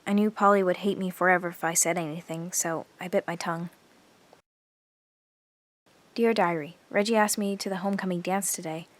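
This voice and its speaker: A woman's voice: on the low side, steady tone, fairly quick, pauses often, no room sound, close to an everyday voice. A woman playing an earnest, observant teenage girl who narrates her diary in an audio drama. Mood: confiding.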